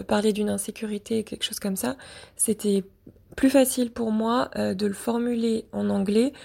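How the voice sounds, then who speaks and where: Gravelly, hesitant young woman. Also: medium pitch, a little mellow. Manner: hesitant, frequent fillers. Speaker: interviewee. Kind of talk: personal testimony.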